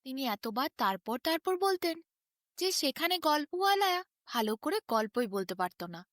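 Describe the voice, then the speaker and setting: A woman narrating a bedtime folk tale as an expressive storyteller. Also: sits high, normal speed, dry recording, studio quality.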